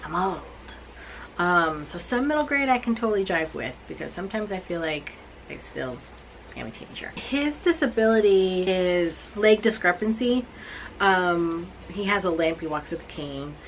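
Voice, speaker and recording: A woman in her forties recapping books she has read to camera, blunt, animated and self-mocking. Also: fairly low pitch, normal speed, a little hiss or hum, poor audio. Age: 40s. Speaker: book reviewer. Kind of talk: casual book recap to camera.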